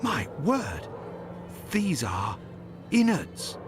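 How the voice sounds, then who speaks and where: A sharp detective. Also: male voice, a little high, huge pitch swings, very slow, soft background music, exaggerated voice. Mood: probing. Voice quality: crisp, probing questions. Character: sharp, clipped adult male detective. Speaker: game character. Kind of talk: video game voice acting.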